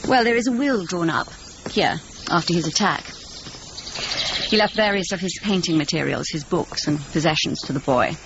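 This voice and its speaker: A woman's voice: lowish voice, lightly acted. Woman acting grave, formal patroness, muffled noisy recording. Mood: measured. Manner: measured, formal, deliberate phrasing. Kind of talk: naturalistic radio drama acting. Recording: muffled recording with clear background noise.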